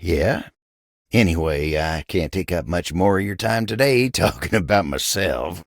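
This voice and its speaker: An actor voicing a gentle, folksy living table in a video game, with a gravelly American Southern drawl and a humble, earnest manner. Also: male voice, low-pitched, no echo, clean studio sound, exaggerated voice. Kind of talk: video game character voice acting.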